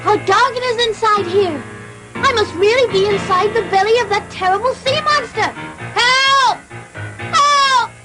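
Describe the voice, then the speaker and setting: A woman's voice: extremely high pitch, a little slow, music in the background, rough recording. A woman voicing an eager, anxious little wooden puppet boy in a cartoon dub, exclamatory and earnest. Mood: frightened.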